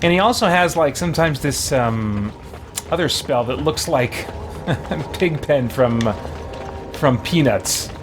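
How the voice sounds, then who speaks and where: A man's voice: fairly high pitch, animated, slow pace. A man streaming a game, chatting casually and thinking aloud as he plays. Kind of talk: casual live game commentary. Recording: game music behind the voice.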